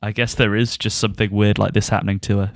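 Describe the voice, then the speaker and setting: A man's voice: on the low side, flat delivery, quick pace, gravelly, no echo, clean studio sound. A man co-hosting a podcast, giving a casual review and often chuckling as he talks. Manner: chuckles often while talking.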